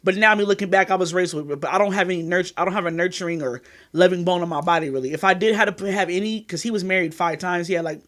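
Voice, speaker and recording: A woman's voice: lowish voice, quick pace, hardly any pauses, fairly bright tone. A woman in her thirties from California, talking frankly and at length as an interview guest. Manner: frank and rambling, leans on "you know what I mean". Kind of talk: candid interview conversation.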